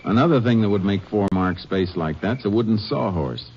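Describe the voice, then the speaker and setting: An actor playing a steady, methodical Texas Ranger investigator in a 1950s radio drama, talking in a calm, matter-of-fact way. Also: male voice, on the low side, normal speed. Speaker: Ranger lead and narrator. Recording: dull lo-fi old radio recording with faint hiss.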